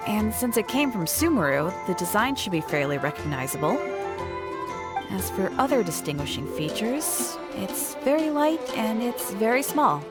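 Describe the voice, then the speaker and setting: A woman's voice: mid-range voice, sing-song, moderate pace, close to an everyday voice. A friendly female shopkeeper from a video game , acted by a woman, talks in a mid-range voice. She is practical and explains things conversationally. Strong music runs behind her. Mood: thoughtful.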